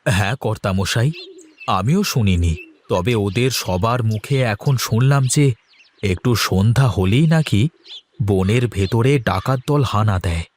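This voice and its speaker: A man's voice: lowish voice, animated, a little slow, a little hiss or hum. A man playing a respectful villager who politely petitions his chief, in a folk-tale cartoon. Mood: earnest, polite. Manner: deferential.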